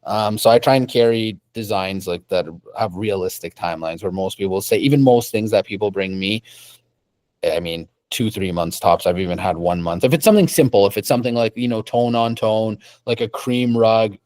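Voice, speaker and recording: A man's voice: on the low side, normal speed, darkish tone, dry recording. A young man from Canada, a rug dealer, answering interview questions casually at his shop desk, often repeating and restarting words.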